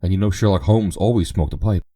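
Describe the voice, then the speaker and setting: A man voicing an excitable, bubbly young woman who gushes in breathless exclamations. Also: deep voice, quick pace, dry recording, clean studio sound, voice pushed up. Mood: gushing.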